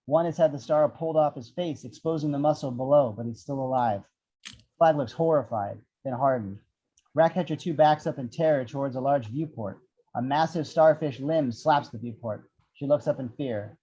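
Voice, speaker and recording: A man's voice: mid-range voice, normal speed, mellow, slightly rough, muffled recording. A man reading out the action descriptions of a screenplay as narrator.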